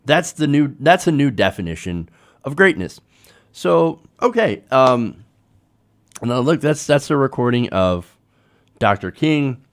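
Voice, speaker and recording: A man's voice: medium pitch, very animated, measured pace, long pauses. A man hosting a political commentary show, talking informally to viewers in a conversational way with rhetorical tag questions.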